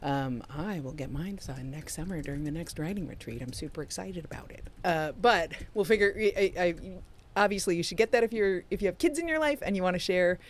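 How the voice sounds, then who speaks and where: A woman's voice: lowish voice, lively intonation, a little fast, slight background noise. Chatty, enthusiastic woman co-host. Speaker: podcast co-host. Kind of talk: casual livestream chat.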